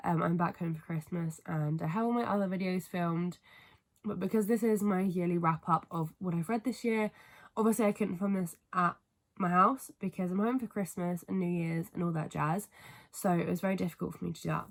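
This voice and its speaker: Stuffy-voiced woman with a cold. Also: fairly low pitch. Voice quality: stuffy, congested. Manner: rambling, filler-heavy, apologetic. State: has a cold, sniffly. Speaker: book vlogger. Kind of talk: casual chat to camera. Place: family home bedroom, indoors.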